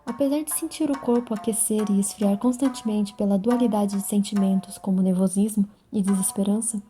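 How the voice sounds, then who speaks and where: Female drama narrator, Brazilian accent: very dark, soft-edged timbre, medium pitch, literary delivery, faint music underneath.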